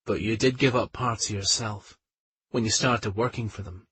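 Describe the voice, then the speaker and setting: A man voicing another man with a gruff, low voice who talks in an unhurried way. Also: steady tone, a bit bright, natural voice. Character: gruff, warm working-class man.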